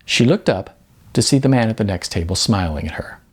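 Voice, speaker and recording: A man reading his own novel aloud as an author, in expressive, conversational audiobook narration. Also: lowish voice, brisk, slightly rough.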